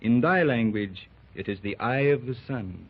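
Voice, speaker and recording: Brief: a grave masked warrior chief, slow and archaic, on a tinny old radio recording. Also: male voice, medium pitch, lively intonation. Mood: solemn. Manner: slow, formal and archaic, with thee and thou. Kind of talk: 1930s radio drama acting.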